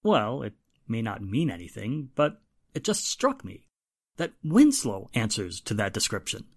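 An actor playing a haughty, formal, suspicious gentleman in a 1940s style radio drama, with pointed theatrical phrasing. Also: fairly low pitch, big pitch swings, a little slow, exaggerated voice. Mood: musing. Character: haughty, well-spoken gentleman, suspicious nephew of wealthy victim.

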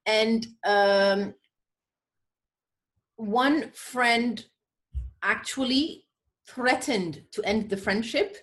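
A woman's voice: fairly high pitch, extremely slow, pauses often. A woman from Ethiopia chatting as an interview guest, hesitant and self-deprecating, often restarting her thoughts. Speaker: podcast guest, literary editor and prize judge. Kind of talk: casual interview chat.

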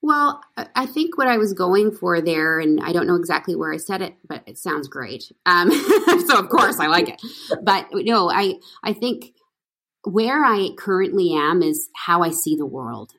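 A woman's voice: fairly low pitch, big pitch swings, measured pace, fairly bright tone. A woman actress in casual conversation, telling stories with plenty of fillers and restarts. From Canada. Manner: chatty, storytelling, many fillers and restarts. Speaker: actress guest. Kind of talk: casual interview chat.

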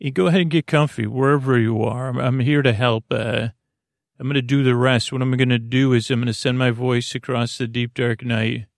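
A man hosting a sleep podcast, rambling in a gently silly, soothing way, halting and circling back on his words with a gravelly creak. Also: mid-range voice, steady tone, dry recording, clean studio sound. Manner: meandering, halting, trailing off mid-word and circling back. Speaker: podcast host. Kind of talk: rambling bedtime-story monologue to help listeners fall asleep.

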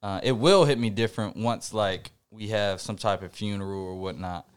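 Smooth low-voiced man, reflective chat. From Puerto Rican family background. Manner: reflective, halting, many fillers and restarts. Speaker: podcast co-host. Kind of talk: casual podcast chat.

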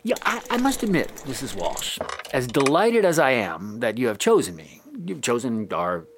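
An actor playing a flustered young small-town priest who stammers and restarts, in a radio drama. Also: male voice, medium pitch, sing-song, noisy background. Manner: stammering, halting. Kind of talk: 1930s southern gothic radio drama.

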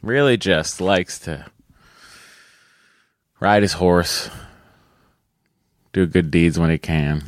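A man in his thirties co-hosting a podcast, deadpan and jokey in casual chat, with a sore mouth that hurts to talk. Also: deep voice, slow pace, pauses often, no echo, clean studio sound. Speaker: podcast co-host.

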